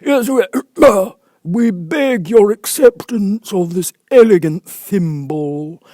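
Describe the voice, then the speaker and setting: A grave, self-important Dodo from a storybook. The voice is pushed high and loud, swooping widely. It hesitates and clears its throat before stately, drawn-out pronouncements. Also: male voice, lively intonation, no room sound, studio quality. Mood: solemn. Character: grave, pompous male Dodo, hesitant and solemn.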